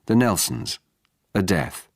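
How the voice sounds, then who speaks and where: Male narrator as a policewoman in an audiobook thriller: formal, probing, matter-of-fact, in a low register. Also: big pitch swings, slow, no room sound, clean studio sound, full character voice. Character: brisk, polite, probing female police detective.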